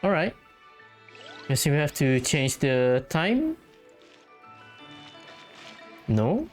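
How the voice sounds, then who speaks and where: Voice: medium pitch, big pitch swings, slow, faint music behind. A game player giving casual let's-play commentary, offhand and musing aloud.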